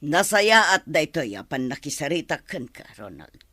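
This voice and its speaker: A woman's voice: sits low, sing-song, normal speed, bright, forceful tone, dry recording, full character voice. A woman playing a stern, bossy madam and mother in a radio drama, commanding and scolding, with music behind her. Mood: stern insistence. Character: stern bossy mother and household madam opposing daughter's romance. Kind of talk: Ilocano radio drama acting.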